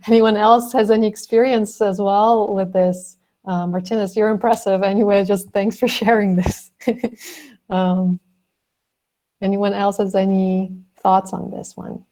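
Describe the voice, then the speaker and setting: Woman moderator on a video call, bubbly, quick to laugh, mid pitch. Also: little pitch movement, warm tone. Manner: bubbly, laughs often, encouraging. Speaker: roundtable moderator. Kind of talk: casual online roundtable chat.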